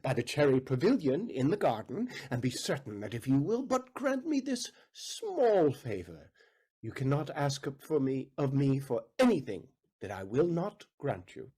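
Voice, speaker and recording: A lecherous, pompous count acted by a man in a stage period comedy. He wheedles in florid phrases, his husky, somewhat high voice rising and falling with great animation. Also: sing-song, moderate pace, dark tone. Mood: wheedling, pompous.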